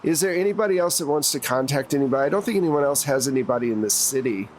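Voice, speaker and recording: A man's voice: mid-range voice, animated, very fast, slight background noise, rough recording. A man acting as game master, narrating a tabletop roleplaying game in a casual style.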